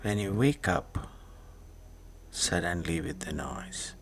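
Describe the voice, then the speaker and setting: A man guiding a sleep meditation, speaking soothingly in short hushed phrases with long pauses, often fading into a breathy whisper. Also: low voice, a little hiss or hum. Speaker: meditation guide. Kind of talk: guided sleep meditation narration. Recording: music behind the voice. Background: meditation music.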